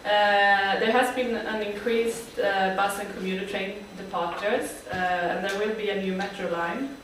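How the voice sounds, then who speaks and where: A woman from Sweden presents her team's project to an audience, pausing on frequent fillers. Her voice sounds distant in a big, very echoey hall, with faint room noise behind it. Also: medium pitch, steady tone. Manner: speaks with frequent uh fillers, friendly and plain. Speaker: student team presenter.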